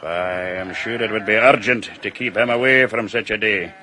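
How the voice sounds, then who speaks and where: An actor playing a smooth, prying Scottish sheep dealer who asks a lot of questions, in a radio drama. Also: male voice, on the low side, moderate pace, pushed and bright. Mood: probing. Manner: probing, ingratiating.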